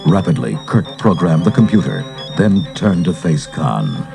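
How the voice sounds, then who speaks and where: A man's voice: low-pitched, very animated, unhurried, creaky. A man giving dramatic scripted narration for a read-along adventure story. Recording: cassette transfer with music underneath.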